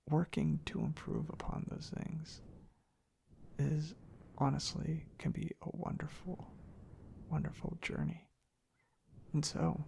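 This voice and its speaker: A man softly rambling in an ASMR style, hushed and halting, drifting into whispers close to the mic. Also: on the high side, creaky. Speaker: ASMR video creator. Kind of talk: soft-spoken ASMR ramble. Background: tapping and clicking.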